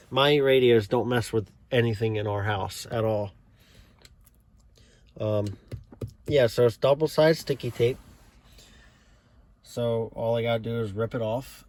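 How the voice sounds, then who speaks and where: An American man giving a casual, unscripted walkthrough in a low-key, halting way, as if thinking aloud. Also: darkish tone. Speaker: hobbyist showing a CB radio install. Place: inside a parked car.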